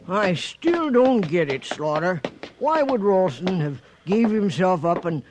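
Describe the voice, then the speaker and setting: An actor playing a folksy, loyal cowboy sidekick in a 1950s radio western. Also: male voice, high voice, big pitch swings, a little slow, over music. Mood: wry, puzzled. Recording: old radio recording, faint noise.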